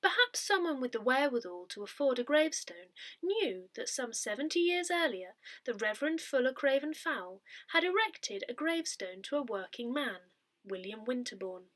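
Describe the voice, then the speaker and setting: Woman, higher-pitched voice, very varied intonation, scripted narration, measured careful enunciation. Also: sing-song, a bit bright. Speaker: volunteer reader of an audio parish newsletter. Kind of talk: scripted narration, reading a written article aloud.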